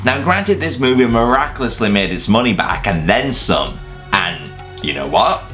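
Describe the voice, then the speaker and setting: A man's voice: mid-range voice, very animated, moderate pace, a bit bright, faint music behind. A man hosting a scripted countdown review, with casual swearing and mock-incredulous asides. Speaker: video reviewer and host.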